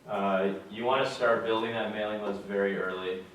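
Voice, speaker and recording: A man's voice: on the low side, moderate pace, recorded from a distance, a little hiss or hum. Young man speaking in an echoey lecture room. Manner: relaxed, plain-spoken, many fillers. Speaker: guest speaker. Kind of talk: casual talk to a student audience. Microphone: far camera.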